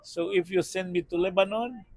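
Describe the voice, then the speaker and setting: Israeli man in his sixties, halting English. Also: sits high, a little mellow, dry recording. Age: 60s. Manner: plain, halting English with small slips. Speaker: driver. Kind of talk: casual self-introduction.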